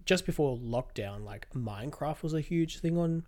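A young man co-hosting a podcast in casual chat, animated, filling his talk with "like" and mocking others in quick impressions. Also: fairly high pitch. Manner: animated storyteller, mimics others mockingly, many "like"s. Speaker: podcast co-host.

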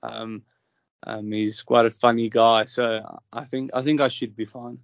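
A man's voice: mid-range voice, flat delivery, unhurried, creaky, dry recording. A young South African man whose first language is Afrikaans, a golfer answering interview questions hesitantly, with many ums and restarts. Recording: dull, dry, lo-fi sound.

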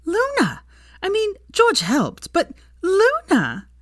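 A brisk, gleeful grown woman teacher, talking high and excitable with big swoops in pitch, as a woman reader puts her on. The sound is close and dry, with no room around it. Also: no echo. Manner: high, animated and emphatic.

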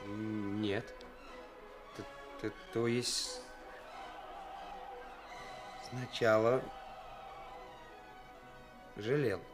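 An actor playing a nervous young suitor in a radio play, halting and deferential, with bursts of cynical bravado. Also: male voice, on the low side, steady tone. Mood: nervous, hesitant. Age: young adult. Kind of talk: theatrical radio play acting. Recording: 1980s radio play with faint music.

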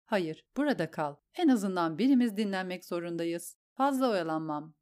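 A haughty queen , voiced by a woman reader, who gives orders briskly and cuts others down with dry scorn. The voice sits a touch lower than the narration and stays firm and controlled. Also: animated, darkish tone, smooth, close to an everyday voice. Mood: commanding. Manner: imperious, cutting. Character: cold, commanding queen, scornful and sharp.

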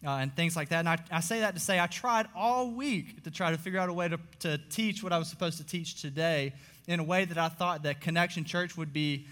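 A young man, a pastor, preaching a sermon in a church auditorium, his voice slightly hoarse, earnest and full of "uh" fillers. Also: fairly high pitch, fairly quick, a bit bright. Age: young adult. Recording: long reverb of a large hall.

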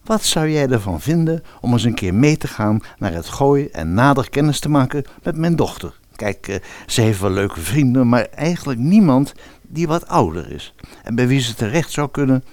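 An actor playing a gentle, caring middle-aged widowed father in an audio fairy-tale drama, speaking in a conversational, softly coaxing way. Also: mid-range voice, sing-song, moderate pace, no room sound, close to an everyday voice.

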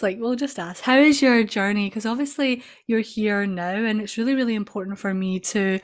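A woman hosts a casual podcast chat with lots of warmth in her words and eager repetitions. Her voice sits at an ordinary middle pitch. She spills enthusiasm over every sentence. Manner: gushing, enthusiastic, repeats words. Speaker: podcast host.

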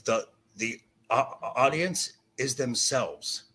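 A man with a raspy voice in a heated pop culture discussion, insistent and halting, often repeating himself. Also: mid-range voice, animated, pushed and bright. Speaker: podcast guest. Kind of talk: heated opinionated film and TV discussion.

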